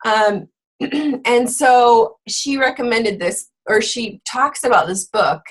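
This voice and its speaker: A woman hosting a book club, a bit hoarse from being sick, chatting casually with lots of fillers. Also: on the high side, slow, rough recording. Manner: chatty, with frequent fillers and laughs. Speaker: fitness coach and book club host.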